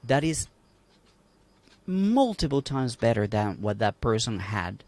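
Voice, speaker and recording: A man's voice: mid-range voice, big pitch swings, takes it slowly, darkish tone. A man from Colombia speaking emphatically on a livestream panel, asking rhetorical questions. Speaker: panel guest.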